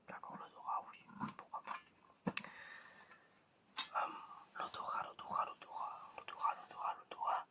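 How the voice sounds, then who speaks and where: An ASMR creator whispering a casual, encouraging roleplay test, softly repeating words. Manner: casual, encouraging, informal phrasing. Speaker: ASMR creator playing an examiner. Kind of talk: ASMR roleplay, testing the listener's senses.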